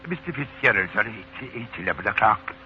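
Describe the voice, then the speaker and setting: Male actor as sly, deferential Irish leprechaun butler, theatrical and very animated, for vintage radio play on muffled old broadcast. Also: on the low side, fast, lightly acted. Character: wily, servile old leprechaun posing as Irish manservant. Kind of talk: 1940s radio acting.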